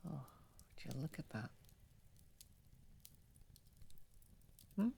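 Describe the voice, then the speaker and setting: Casting: male actor as a courteous, protective vampire for ASMR drama; whispery, formal, heavy vocal fry, noisy ambient backdrop. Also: mid-range voice, lively intonation, normal speed. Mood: relieved. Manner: soft-spoken, often whispering, formal wording. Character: posh, cozy, gentle male vampire, protective rescuer. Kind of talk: ASMR roleplay audio drama.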